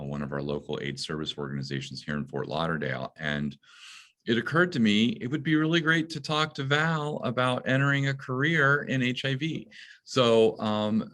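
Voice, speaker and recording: Male interview host, very deep voice, halting delivery with frequent fillers. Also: fairly bright tone. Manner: hesitant, many fillers. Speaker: interview host, museum education director. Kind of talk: hosted interview.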